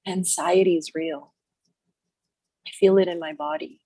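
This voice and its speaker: Low, breathy woman guiding a meditation. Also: steady tone, dry recording. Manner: slow, soft, repetitive phrases with long pauses. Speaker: meditation guide. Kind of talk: guided healing meditation.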